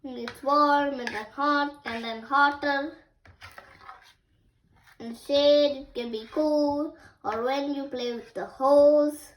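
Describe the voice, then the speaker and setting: A six-year-old child reading picture books aloud in a halting, word-by-word way, as the host of a story time. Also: high-pitched, steady tone, slight background noise, poor audio. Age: child, 6 years old.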